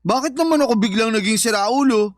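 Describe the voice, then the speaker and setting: Jealous, sulky Filipino boyfriend. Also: male voice, extremely high pitch, quick pace, faint music behind. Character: jealous, sulky young father confronting his partner. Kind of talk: radio drama acting.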